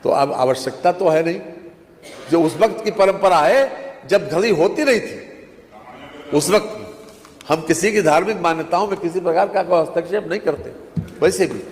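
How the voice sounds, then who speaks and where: A man's voice: high-pitched, normal speed, pauses often. Government minister, echoey male voice. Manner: emphatic, repeats phrases and uses formal honorifics. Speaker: government minister replying in a state assembly. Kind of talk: impromptu parliamentary rebuttal. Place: large legislative chamber. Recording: reverberant assembly hall, faint noise.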